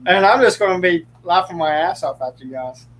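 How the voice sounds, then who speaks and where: A man's voice: sits high, animated, fairly quick, a bit bright, a little hiss or hum, rough recording. A young man hosting a casual livestream chat, joking often and laughing easily. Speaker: livestream host.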